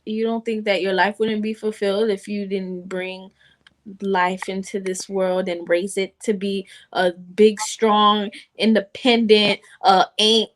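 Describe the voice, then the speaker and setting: A woman in her late twenties chatting casually in a group podcast, with an animated, joking, slangy way of talking. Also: medium pitch, a little slow, slight background noise. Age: late 20s. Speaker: podcast panelist.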